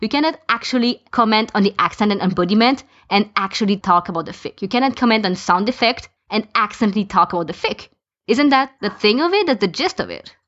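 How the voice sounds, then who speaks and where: A woman's voice: medium pitch, lively intonation, fast talker, fairly bright tone. A woman in a casual podcast discussion, giving her opinions and explaining them through lists of examples. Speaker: podcast speaker, fan podficker. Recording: dull lo-fi voice recording.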